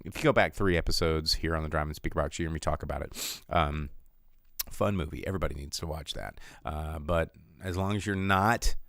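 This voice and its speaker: A man's voice: low voice, a bit bright, clean tone, no room sound. An American man hosting a casual solo show, giving irreverent, sardonic, rambling commentary and reading out rankings. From United States. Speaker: movie podcast host.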